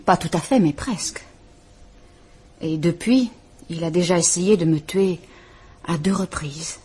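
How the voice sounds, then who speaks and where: An actress playing a frightened, formal estranged wife who is visiting a police inspector, speaking in a restrained, measured way. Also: female voice, on the low side, dark tone, husky. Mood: fearful, measured. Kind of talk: 1950s French radio drama acting.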